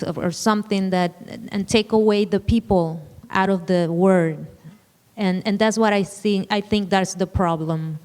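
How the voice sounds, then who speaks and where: Earnest woman, clear voice. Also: on the low side, a little mellow, long reverb tail. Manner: earnest, emphatic, with restarts. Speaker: panelist author. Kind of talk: panel discussion. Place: lecture hall.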